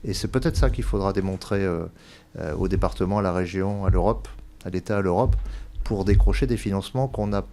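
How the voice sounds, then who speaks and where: A man explaining as a local government administrator in an interview, measured and cautious in his wording. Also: low-pitched, warm tone. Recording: clear background ambient noise.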